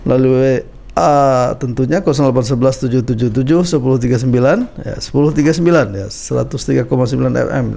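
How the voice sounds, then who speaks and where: A man's voice: mid-range voice, quick pace, creaky, dark tone. A man hosting a casual radio interview, chatty and loose with fillers, a bit stuffy from a cold. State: slightly congested with a cold. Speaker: radio talk show host. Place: radio studio.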